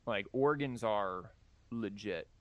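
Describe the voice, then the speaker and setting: A man's voice: on the low side, slow, no echo. A man livestreaming a game, chatting casually with joking, rambling asides and reading dialogue aloud. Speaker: game streamer. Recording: music behind the voice. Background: game music.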